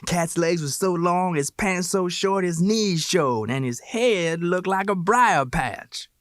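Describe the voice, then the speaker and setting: A man's voice: high voice, slow, dry recording, studio quality, voice pushed up. A man voicing a hip, friendly young male pool-room hustler in slangy, drawling street talk for an audiobook. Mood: teasing, amused.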